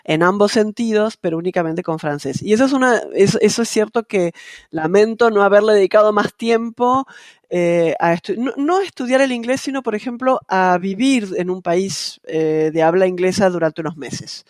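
A woman's voice: mid-range voice, normal speed, darkish tone, dry recording. Casting note: middle-aged Argentine woman, translator guest, reflective and hesitant, dry close sound. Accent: Argentine Spanish, softened by years abroad. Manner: thoughtful, many hesitations and fillers, light laughs. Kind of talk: casual interview conversation.

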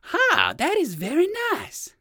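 A woman voicing a polite, curious old gentleman rabbit in a children's storybook, with courteous old-fashioned manners. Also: high-pitched, huge pitch swings, slow pace, no echo, studio quality, pitched above the performer's own voice, exaggerated voice. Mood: eager, curious. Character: polite, eager elderly gentleman rabbit with rheumatism.

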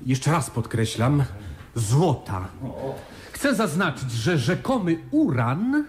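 An actor playing an assertive, stonewalling chief engineer in a radio play, formal and emphatic. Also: male voice, on the high side, big pitch swings, takes it slowly, a little mellow, slight background noise. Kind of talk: 1980s Polish radio play acting.

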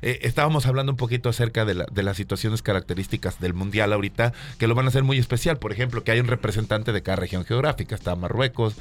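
A man's voice: on the low side, quick pace, hardly any pauses. A man with a Mexican accent chatting casually as the host of a broadcast.

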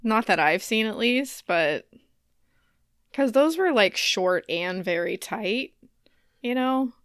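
Unhurried woman chatting, dry close sound. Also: on the high side, animated, fairly bright tone, clean tone, dry recording. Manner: laughs readily. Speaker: podcast co-host. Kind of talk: casual chat.

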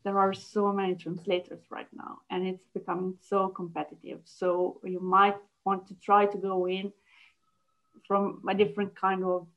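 A young woman answers interview questions in a thoughtful, halting way. She often restarts her sentences. Her voice sits at a middle pitch and comes through slightly muffled, lo-fi call audio. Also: level tone. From Italy. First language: Italian. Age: young adult. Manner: thoughtful, halting, with frequent restarts and light laughs. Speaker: guest, localization project manager.

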